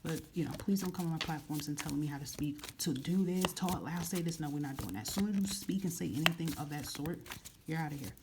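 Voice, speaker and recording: A soft-spoken woman reading tarot cards to camera in a casual, frank way, often repeating phrases. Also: low-pitched, fast talker, noisy background. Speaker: tarot reader.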